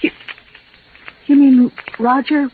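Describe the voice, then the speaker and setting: Distraught American wife, high voice, breathless urgency, melodrama, thin crackly 1940s radio. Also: female voice, level tone, slow. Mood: anxious, questioning. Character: frightened, devoted, pleading young wife. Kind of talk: 1940s radio drama acting. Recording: noisy, narrow-band 1940s radio recording.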